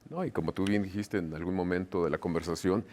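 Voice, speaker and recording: Un hombre mexicano conductor de un programa de televisión, entrevistando con calma y asintiendo brevemente. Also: male voice, deep voice, fast. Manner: calm, brief affirming interjections. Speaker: TV show host. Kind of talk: television interview.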